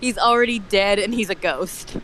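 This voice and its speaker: A man's voice: high voice, normal speed, sharp tone, rough recording. A man in casual, joking conversation. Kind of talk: casual chat. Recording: faint background noise.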